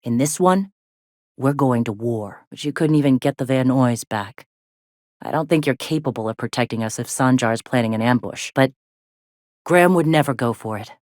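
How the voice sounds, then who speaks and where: A woman voicing a gruff, plainspoken middle-aged frontier medic in a video game, with a blunt, folksy way of talking. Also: extremely low pitch, normal speed, a little mellow, no room sound, studio quality. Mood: stern, frustrated. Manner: folksy, blunt, drawling slang with swearing. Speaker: video game character, medic and deputy leader.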